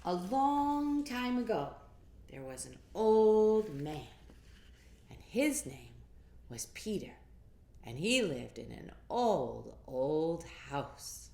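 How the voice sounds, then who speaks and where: A woman reads a children's story with slow, drawn-out, sing-song phrasing and very lively pitch. She speaks to young listeners in an encouraging, interactive way. The room adds an audible echo. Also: gravelly. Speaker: storyteller reading a picture book to children. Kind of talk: animated read-aloud with asides to young listeners.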